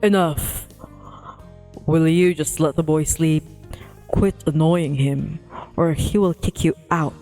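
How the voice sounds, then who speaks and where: Woman doing a gruff big cat spirit, slow. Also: deep voice, fairly even intonation, dark tone. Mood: stern, annoyed. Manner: stern, blunt. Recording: music playing behind the voice.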